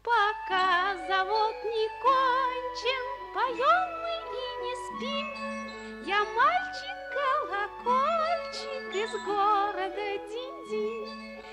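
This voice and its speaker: A cheerful little bell creature from a musical box, extremely high and unhurried. The boy is courteous and singsong, swooping in pitch, in a 1940s radio fairy tale. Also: expressive, loud music behind the voice, full character voice. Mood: singsong, inviting. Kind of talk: 1940s radio play acting, partly sung.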